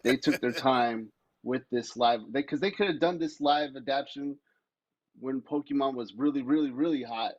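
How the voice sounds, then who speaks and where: A man's voice: medium pitch, moderate pace, no echo. A man giving blunt, casually profane opinions as co-host in a podcast debate, through a slightly muffled microphone.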